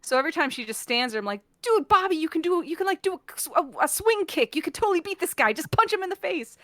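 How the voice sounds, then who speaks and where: A woman's voice: extremely high pitch, quick pace, no room sound. Chatty woman on a dry, close recording. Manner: animated, geeky, talkative. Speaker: guest on a fan talk stream. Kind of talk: casual chat about sci-fi shows.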